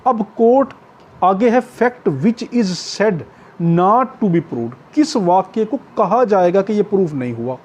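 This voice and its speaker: A man with a Pakistani accent giving an explanatory law lecture, going step by step, repeating points, checking in often and slowing down to read clauses in English. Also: high-pitched, animated, darkish tone. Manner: repetitive step-by-step explaining with frequent check-ins; switches into slow English when reading out clauses. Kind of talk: explanatory exam-prep lecture, reading clauses and glossing them.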